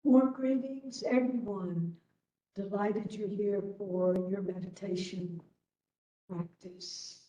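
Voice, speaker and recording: A woman leading a guided meditation as instructor, gently and unhurriedly, leaving long pauses between phrases. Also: medium pitch, steady tone. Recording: roomy, distant webinar audio.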